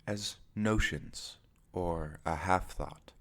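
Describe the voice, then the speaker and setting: A man guiding a meditation as its teacher, speaking in measured, deliberate phrases with pauses between them. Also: low-pitched, gravelly. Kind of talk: guided meditation narration.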